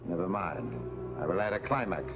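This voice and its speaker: An actor playing a cold, menacing spymaster villain in a 1950s TV drama, measured and coolly polite. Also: male voice, deep voice, lively intonation, over loud music. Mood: cold menace. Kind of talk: 1950s television drama acting. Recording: tinny old TV soundtrack.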